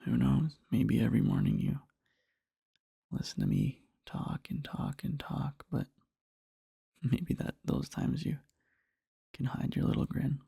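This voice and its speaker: A man playing a devoted, sleepy boyfriend in an ASMR roleplay, murmuring tenderly and haltingly in a husky whisper, close to the microphone. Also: mid-range voice, wildly swooping pitch, mellow, dry recording, full character voice. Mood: drowsy, affectionate. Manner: slow, halting, rambling, tender. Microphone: close-up microphone, dry.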